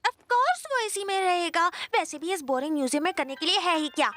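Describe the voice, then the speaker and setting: A woman's voice: very high-pitched, lively intonation, moderate pace, bright, forceful tone, faint music behind, full character voice. A woman voicing a sly little sister in a cartoon dub, scheming and wheedling. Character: sly, sweet-talking little sister who dotes on cat. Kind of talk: Hindi cartoon dubbing.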